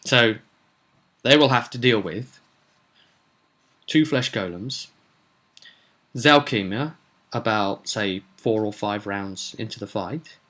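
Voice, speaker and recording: Bright, forceful-voiced man talking tabletop games. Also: on the low side, takes it slowly, long pauses. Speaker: game master, vlog host. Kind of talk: casual solo vlog talk on planning a tabletop game.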